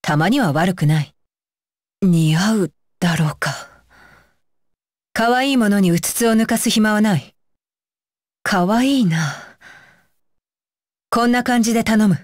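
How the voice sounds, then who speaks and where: Woman acting as a young female ninja guard, anime game, stern, dutiful, terse, commanding, medium pitch, unhurried delivery. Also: no echo, clean studio sound, natural voice. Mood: firm, composed. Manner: clipped, commanding, terse masculine phrasing. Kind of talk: Japanese anime game voice lines.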